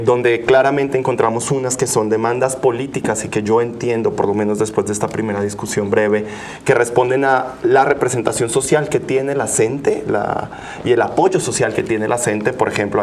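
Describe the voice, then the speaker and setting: Lower-pitched Mexican male moderator. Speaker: talk show host and moderator. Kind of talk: moderating a panel discussion. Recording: faint background noise with room ambience.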